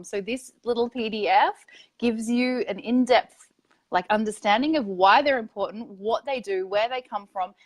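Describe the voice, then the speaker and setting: Blunt, upbeat woman guest. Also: mid-range voice. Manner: emphatic, blunt, upbeat, laughs easily. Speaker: interview guest, spiritual coach. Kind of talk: casual online interview.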